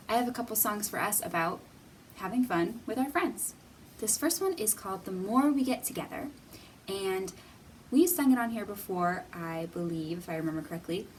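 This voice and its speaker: A woman's voice: mid-range voice, darkish tone. Lady doing storytime for little kids, encouraging and simple, bit far from the mic, faint noise behind. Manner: encouraging, simple instructions to children. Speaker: children's library storytime host. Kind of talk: read-aloud and sing-along for young children.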